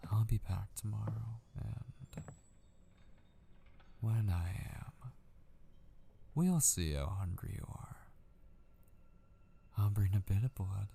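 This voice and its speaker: A man acting an obsessive, possessive kidnapper in an intimate ASMR roleplay, patient and menacing, hushed and often slipping into a breathy whisper. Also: fairly low pitch, expressive, takes it slowly, pauses often, slight background noise, full character voice.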